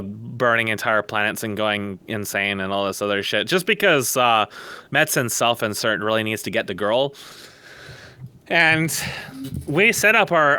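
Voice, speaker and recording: A man's voice: mid-range voice, bright, forceful tone, clean tone, dry recording. A man talking casually on a podcast over a voice call, rambling at length with blunt, sardonic, profane opinions. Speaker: podcast guest. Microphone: close mic on voice chat.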